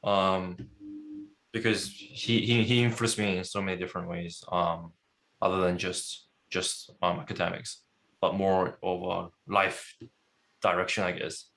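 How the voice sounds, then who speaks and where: A man's voice: deep voice, level tone, unhurried, vocal fry, a bit bright. A young man born in Korea, a guest in a casual podcast interview, who laughs often and restarts his sentences.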